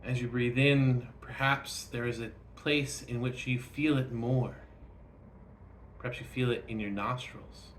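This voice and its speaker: A man leading a guided meditation, speaking gently and instructively in short phrases with frequent pauses. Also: mid-range voice, fairly even intonation, slight background noise.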